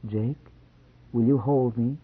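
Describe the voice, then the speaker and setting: Brief: male narrator puts on a tearful, stubborn young wife, high and pleading, lo-fi phone-like audio. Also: unhurried, breathy edge. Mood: timid. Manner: pleading, shrill when upset. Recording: tinny phone-like lo-fi sound.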